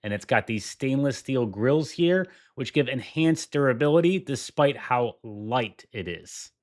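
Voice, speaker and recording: A man's voice: mid-range voice, expressive, unhurried. A man hosting a tech video, walking through a product demo with enthusiasm and repeating his points for emphasis. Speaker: tech reviewer.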